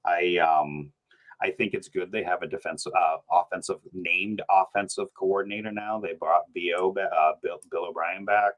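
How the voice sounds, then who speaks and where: A man, a podcast guest chatting casually, animated and rambling, laughing often. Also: sits low, normal speed, rough recording. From New Hampshire. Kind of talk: casual sports chat.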